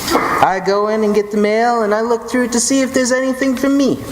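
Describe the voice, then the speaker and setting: A man's voice: extremely high pitch, level tone, fairly quick. An actor's swaggering streetwise radio tough guy, echoey. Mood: sly, boastful. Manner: slangy, swaggering gangster-style talk. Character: cocky tough-guy chauffeur and bodyguard, streetwise wiseguy. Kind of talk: old-time radio detective drama acting. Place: live stage performance in a theatre hall. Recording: echoey stage hall with noise.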